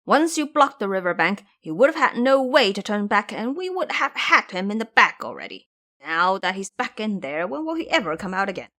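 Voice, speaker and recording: A woman voicing a blunt, complaining male pig-man warrior monk in an audio drama, loud in manner. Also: medium pitch, big pitch swings, fairly quick. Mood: exasperated complaint. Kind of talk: audio drama character acting.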